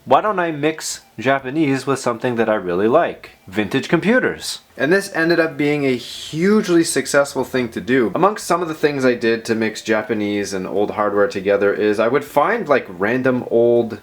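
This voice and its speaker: A man's voice: medium pitch, lively intonation, normal speed, hardly any pauses. A man narrating a personal vlog in an informal, unscripted, self-deprecating way, rambling as he goes. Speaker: video host.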